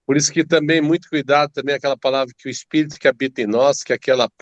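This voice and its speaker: A man's voice: medium pitch, fairly even intonation, rapid-fire, no echo, muffled recording. A man taking part in a Bible study, affirming and reading verses aloud. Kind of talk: group discussion.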